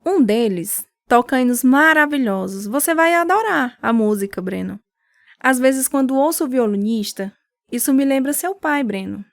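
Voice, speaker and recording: A woman's voice: high-pitched, expressive, normal speed, dry recording, studio quality. An actress playing a devout, weary, caring mother in a radio drama. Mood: encouraging.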